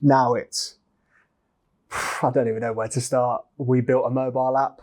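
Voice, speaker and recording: A man's voice: medium pitch, level tone, measured pace, a little mellow. A man hosting a casual interview, asking questions in an informal, conversational way. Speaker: interviewer, podcast host. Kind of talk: casual chat.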